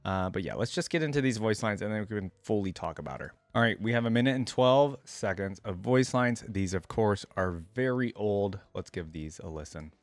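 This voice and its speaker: A man's voice: lowish voice, expressive, fairly quick, few pauses, no echo. A man hosting a reaction video, giving casual, musing commentary and addressing viewers directly. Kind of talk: casual commentary, partly reading a wiki aloud.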